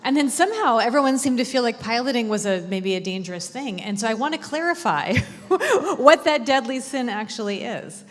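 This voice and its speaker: A woman's voice: fairly high pitch, animated, normal speed, hardly any pauses. A woman giving a closing speech at a conference, emphatic and fond of mock-quoting for effect. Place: conference room. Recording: long hall reverb.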